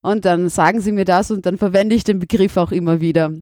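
A woman's voice: fairly low pitch, steady tone, fast, warm tone, no echo, clean studio sound. A woman teacher from Burgenland with an Austrian accent, answering interview questions in a thoughtful, candid way.

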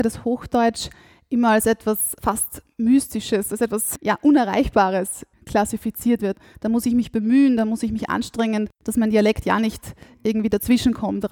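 A woman's voice: fairly high pitch, dark tone, dry recording. Dry-sounding woman interviewer. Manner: polite, formal, thoughtful. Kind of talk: formal interview questions.

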